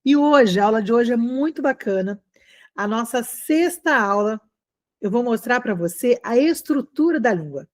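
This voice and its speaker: A woman with a Brazilian accent giving a conversational online language lesson, encouraging and animated, often checking in with short questions to her students. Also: fairly high pitch, normal speed. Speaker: online English teacher.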